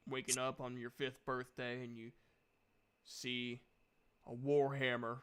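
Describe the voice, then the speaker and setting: A man playing a reluctant male warlock in a tabletop game, explaining himself in a halting, resentful grumble. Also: medium pitch, little pitch movement, fairly bright tone, clean tone, close to an everyday voice. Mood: resigned.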